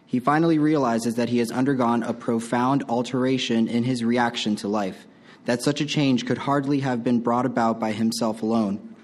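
A man reading a passage aloud at a meeting in a steady, measured way. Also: mid-range voice. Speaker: meeting reader.

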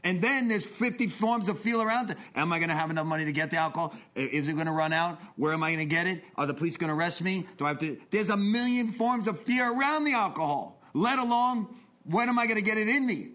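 A man in his forties speaks with an earnest, confessional pull. His voice sits a touch higher than most men's, rising into intense bursts and dropping to hushed asides as he tells his story. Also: quick pace. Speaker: recovery speaker. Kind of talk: personal testimony talk.

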